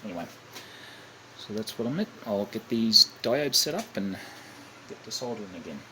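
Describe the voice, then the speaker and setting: A man giving a casual, unscripted hands-on demonstration, halting and thinking aloud as he goes, often correcting himself. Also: lowish voice, animated, pushed and bright. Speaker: hobbyist demonstrating a kit build. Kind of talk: casual unscripted how-to narration. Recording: clearly noisy amateur home recording.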